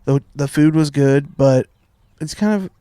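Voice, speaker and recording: A man's voice: a little high, measured pace, warm tone, smooth, no echo, studio quality. A man in his late twenties co-hosting a casual podcast, teasing and joking. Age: late 20s. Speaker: podcast co-host. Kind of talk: casual chat.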